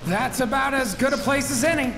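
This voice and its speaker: An amiable chubby young rebel. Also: male voice, extremely high pitch, a little fast, background noise. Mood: weary. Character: chubby good-natured young male rebel, anxious, amiable. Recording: very echoey tunnel, music behind.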